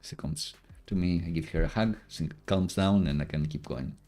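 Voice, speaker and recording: A man's voice: deep voice, moderate pace, dark tone. A young man from Greece chatting casually while live coding at home, thinking aloud as he works. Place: home room. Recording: faint music behind the voice.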